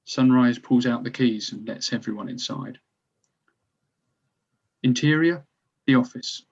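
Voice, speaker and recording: A man's voice: medium pitch, a little slow, creaky, a little mellow, breathy edge. A man narrating scripted stage directions at a table read. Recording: slightly dull, lo-fi recording.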